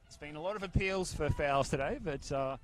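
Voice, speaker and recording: A man calling a football match outdoors at a ground, soft-spoken and steady, speaking close into a handheld mic. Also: on the high side, fairly quick. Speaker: football commentator. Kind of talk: live football commentary. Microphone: handheld mic held very close.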